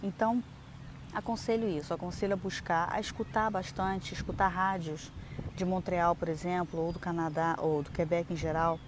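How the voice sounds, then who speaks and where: A young woman from Brazil talks casually to her viewers, explaining things at length with fillers and small restarts. Her voice sits on the lower side. Steady background noise runs under the recording. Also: normal speed. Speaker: vlogger. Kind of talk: casual advice vlog. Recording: noisy outdoor recording.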